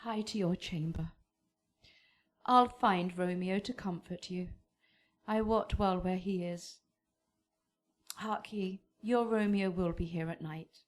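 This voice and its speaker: An actress playing a loyal, fussing nurse in a Shakespearean radio drama, with emphatic, lamenting delivery. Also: female voice, lowish voice, slow pace, long pauses. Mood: consoling. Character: Juliet's Nurse, fussing, loyal, scolding woman servant.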